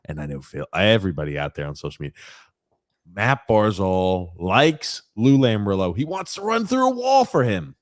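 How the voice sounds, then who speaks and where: A man's voice: fairly low pitch, sing-song, normal speed, no echo, studio quality. A man co-hosting a sports podcast, talking in a conversational, occasionally profane way as he banters casually.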